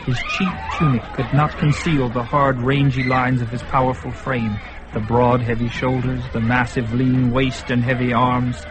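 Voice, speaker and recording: A man's voice: mid-range voice, level tone, normal speed, music in the background, rough recording. A man narrating a radio drama in a vivid, dramatic way. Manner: vivid, building intensity.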